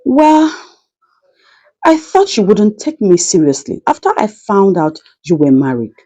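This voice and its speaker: A woman's voice: medium pitch, big pitch swings, measured pace, warm tone. A woman voicing a shameless, boastful young mistress in a folktale, brazen and coolly dismissive. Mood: cool, unashamed. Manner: brazen, unapologetic.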